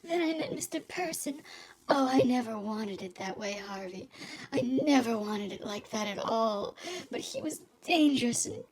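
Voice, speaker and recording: A woman playing a young, pleading femme fatale in a noir radio drama, theatrical and tearful, with swooping breathy delivery. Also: on the high side, lively intonation, moderate pace, darkish tone, poor audio. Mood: wistful, confessing. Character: melodramatic young femme fatale, sheltered rich girl turned scheming, pleading lover.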